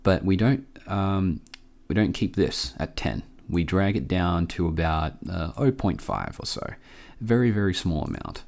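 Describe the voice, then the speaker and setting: A man hosting a casual software tutorial, informal and a bit hesitant as he thinks aloud. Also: low voice, sing-song, unhurried, creaky, hardly any pauses. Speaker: tutorial host.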